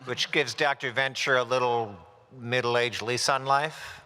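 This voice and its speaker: A man's voice: medium pitch, steady tone, unhurried, bright, forceful tone. A man, a voice actor, chatting casually about recording and character voices. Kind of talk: casual panel chat. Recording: long hall reverb.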